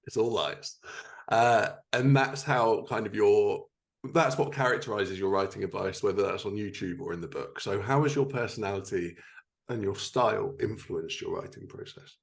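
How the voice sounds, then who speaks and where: A man's voice: on the low side, moderate pace, vocal fry. A man hosting a podcast in a casual, conversational interview style. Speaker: podcast host.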